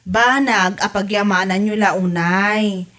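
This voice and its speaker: A woman's voice: medium pitch, steady tone, slow pace, fairly bright tone. A woman playing a dutiful, outspoken grown daughter in an audio comedy-drama, earnest and admonishing. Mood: earnest, scolding. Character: dutiful, outspoken grown daughter looking after her mother.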